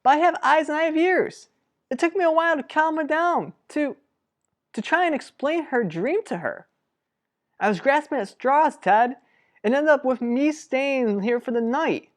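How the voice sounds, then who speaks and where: An actress playing an earnest, protective sister in a radio soap drama, speaking deliberately and persuasively. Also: female voice, high voice, lively intonation. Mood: anxious, insistent. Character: earnest, protective sister pleading for a troubled couple. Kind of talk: revived vintage radio soap drama acting.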